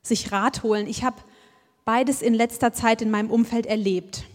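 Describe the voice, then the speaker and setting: A woman delivers a sermon in a reflective, personal way and keeps turning to her listeners with questions. Her voice sits fairly high. Long reverb from a church hall trails behind her words. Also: fairly even intonation, normal speed. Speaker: preacher.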